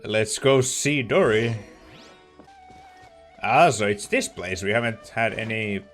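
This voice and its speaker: A woman gamer giving casual, low-key, agreeable commentary as she plays. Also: lively intonation, slow, soft background music. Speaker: let's play streamer.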